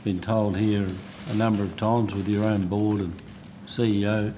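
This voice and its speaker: An Australian man questioning officials as a senator in a hearing, with a blunt, halting, sardonic manner. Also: lowish voice, flat delivery, dark tone, slight background noise. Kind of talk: parliamentary questioning. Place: committee hearing room.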